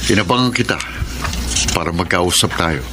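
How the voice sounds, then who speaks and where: A man's voice: low voice, moderate pace, lightly acted. An actor playing a troubled estranged husband who longs for his wife, in earnest, emotive Filipino radio drama style. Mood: confrontational, angry. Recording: very noisy radio drama recording.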